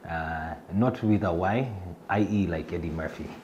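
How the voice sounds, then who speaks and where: A middle-aged man with a Kenyan accent talks about himself in an interview, his voice very low. He pauses often with fillers and restarts his sentences, chuckling a little at himself. A faint hum of noise sits under the recording. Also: a little mellow, muffled recording. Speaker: fintech executive. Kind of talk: self-introduction in an interview.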